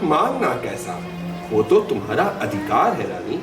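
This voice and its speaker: A man's voice: medium pitch, wildly swooping pitch, moderate pace. A man playing an aged, devoted king with a grand, emotional, theatrical delivery in a mythological drama. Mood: solicitous. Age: older. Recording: echoey, distant playback with loud music.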